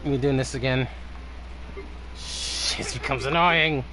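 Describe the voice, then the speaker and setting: A man's voice: mid-range voice, takes it slowly, sharp tone. A man casually muttering and swearing to himself as he streams a video game.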